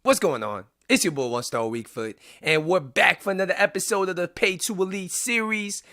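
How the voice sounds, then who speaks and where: A man talking through video-game commentary in a casual, chatty way, sounding raspy because he is under the weather. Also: high-pitched, moderate pace, sharp tone. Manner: casual and chatty, with frequent "um" fillers. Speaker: YouTuber. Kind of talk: gaming commentary.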